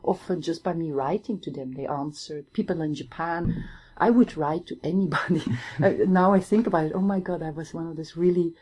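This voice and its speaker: A Flemish woman in her forties, an academic guest talking thoughtfully in an interview, often pausing to search for words. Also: sits low, a little mellow. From Belgium (Limburg, Flanders). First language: Flemish Dutch. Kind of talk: reflective conversational interview.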